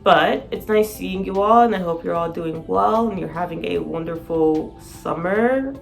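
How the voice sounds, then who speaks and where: Woman, raspy gravelly voice, lowish pitch, excited casual vlog chat, soft music behind. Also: unhurried. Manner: excited, rambling, laughs often. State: sleep-deprived. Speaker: vlogger.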